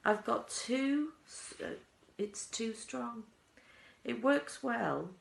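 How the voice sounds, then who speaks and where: An eccentric male inventor from a children's storybook, as a woman reads him, bossy and boastful. The voice swoops up and down excitedly in a roomy, echoing space. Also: a little high, gravelly, pitched above the performer's own voice, exaggerated voice. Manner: exclamatory, hurried, boastful, scolding. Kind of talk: children's storybook character reading. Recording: roomy, echoing indoor sound.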